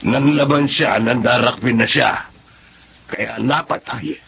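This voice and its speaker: A man's voice: medium pitch, slow, gravelly, a bit bright, slight background noise. A man with a Filipino accent playing an indignant, supportive storekeeper neighbour in a radio drama. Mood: frank, neighbourly.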